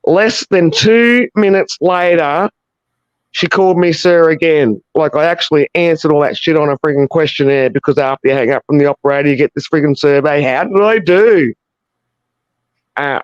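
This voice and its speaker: Deep-voiced Australian woman, blunt and sweary. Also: moderate pace, smooth, dry recording, muffled recording. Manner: blunt, sweary, animated storyteller. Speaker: viewer caller. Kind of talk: casual chat.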